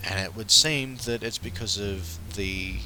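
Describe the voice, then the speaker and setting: Chatty Australian man with a bright voice. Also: fairly low pitch, a little slow, faint music behind. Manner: chatty, frequent ums and uhs. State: recovering from flu. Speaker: pop culture podcast host. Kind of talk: casual solo commentary.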